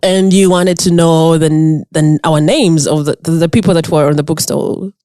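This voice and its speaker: A woman from Uganda hosting a podcast, telling a story to a friend in casual chat, animated and laughing often. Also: deep voice, normal speed, no room sound, studio quality. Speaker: podcast host.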